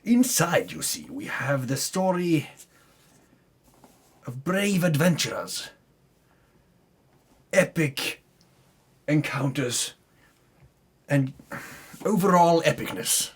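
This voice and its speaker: A man's voice: a little high, big pitch swings, slow, pauses often, slightly rough. A man giving a mock-solemn, theatrical dramatic reading as the storyteller of a tabletop campaign, pompous with comic self-correcting asides.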